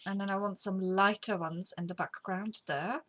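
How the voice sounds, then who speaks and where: A woman's voice: medium pitch, steady tone, normal speed. A middle-aged woman with a slight cold, chatting casually and rambling while demonstrating a craft technique. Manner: rambling, chatty, drops to whispers while working. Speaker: craft tutorial host. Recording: faint background noise.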